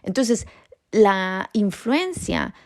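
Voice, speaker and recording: A woman's voice: mid-range voice, animated, drawn-out words, no echo, studio quality. A woman from Costa Rica with a Costa Rican Spanish accent, hosting an educational podcast in a reassuring tone, often checking in with a rising "¿sí?". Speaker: podcast host and bilingualism educator. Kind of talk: informal educational podcast talk.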